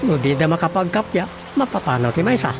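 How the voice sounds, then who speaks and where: An earnest village husband who likes solving problems, his voice fairly high and gravelly. It is radio comedy drama, played over music. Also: sing-song, a little fast.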